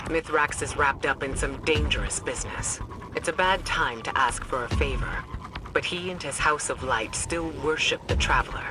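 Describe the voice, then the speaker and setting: An actor playing a composed, urgent military mission commander in a video game, speaking in a crisp, tactical, commanding way. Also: male voice, on the high side, moderate pace, over music, natural voice, poor audio. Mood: focused, urgent. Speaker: mission guide.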